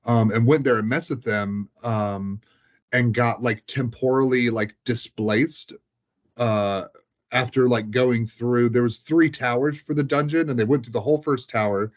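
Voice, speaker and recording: Man, medium pitch, casual storytelling chat, rambling, filler-heavy, muffled lo-fi sound. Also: steady tone, measured pace. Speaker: tabletop game master. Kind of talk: casual chat, recounting game sessions. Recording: dull, lo-fi sound.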